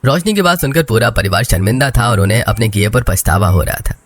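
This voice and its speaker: Male narrator for cartoon moral tales, mid pitch, lively intonation, music bed. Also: quick pace, a little hiss or hum. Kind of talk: scripted moral-story narration.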